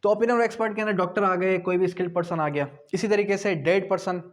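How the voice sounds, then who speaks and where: Man, Pakistani accent, fairly high voice, didactic lecturer, repetitive, question-and-answer explaining, switches into English phrases. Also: fairly even intonation, fast talker. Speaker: law lecturer. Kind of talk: explanatory exam-prep lecture, mixing Hindi-Urdu and English.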